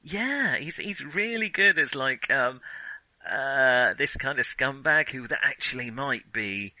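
A man's voice: mid-range voice, expressive, unhurried, bright, forceful tone, no echo, low-quality recording. A man co-hosting a film podcast, chatting casually with wry, enthusiastic humour. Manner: enthusiastic, chuckling, wryly joking.

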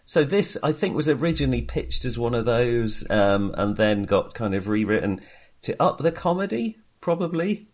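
A man co-hosting a casual film discussion, talking in a hesitant, halting way and circling back on his phrases. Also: mid-range voice, big pitch swings. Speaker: podcast co-host reviewing films.

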